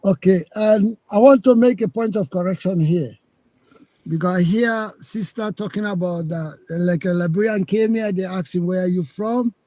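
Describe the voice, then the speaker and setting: High-voiced man on a call. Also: normal speed, dark tone, no room sound. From Sierra Leone. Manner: argumentative, repeats points. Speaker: caller. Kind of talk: live call-in discussion.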